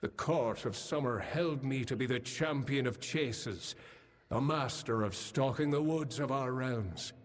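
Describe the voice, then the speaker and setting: A man playing a cursed, tormented and bloodthirsty fey lord of the hunt in a fantasy game, with a halting, menacing delivery. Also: medium pitch, lightly acted. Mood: brooding, proud. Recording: long cavernous reverb, music behind.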